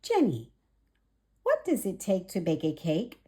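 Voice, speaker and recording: A woman's voice: fairly low pitch, huge pitch swings, measured pace, dark tone. A woman voicing an eager, polite young female raccoon in a children's storybook, with earnest, sing-song questions. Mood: eager, friendly.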